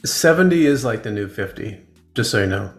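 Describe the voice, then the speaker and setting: A man's voice: on the low side, expressive, fairly quick. A man in his forties hosting a casual podcast interview, playful and encouraging as he asks questions. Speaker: podcast host. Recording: roomy echo with faint music.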